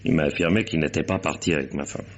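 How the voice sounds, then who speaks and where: A domineering boss in a radio play, really deep husky voice, blunt and brusque. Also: male voice, fast, muffled recording. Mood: explaining. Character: gruff, imperious businessman, a wronged husband. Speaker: actor in a radio mystery. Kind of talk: French detective radio drama acting.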